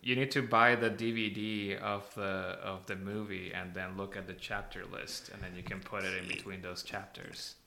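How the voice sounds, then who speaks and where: A man's voice: sits low, flat delivery, moderate pace, vocal fry, few pauses, bright, forceful tone. A man hosting a podcast, chatting in an informal, bantering way. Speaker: podcast host. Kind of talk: casual podcast chat.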